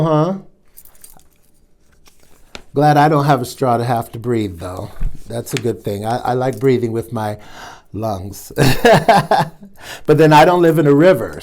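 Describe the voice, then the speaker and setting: A man reading a picture book aloud for children and pausing to chat with his young audience. His delivery is slow and unhurried, and his pitch moves in an animated, lively way. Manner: chatty asides to young listeners. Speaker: storytime reader, drag queen host.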